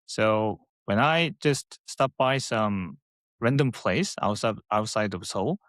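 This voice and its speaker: Korean-accented host, dry close sound. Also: male voice, fairly low pitch, expressive, fairly bright tone, no room sound. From South Korea. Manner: thoughtful, halting, laughs lightly. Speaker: podcast host. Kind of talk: casual interview chat.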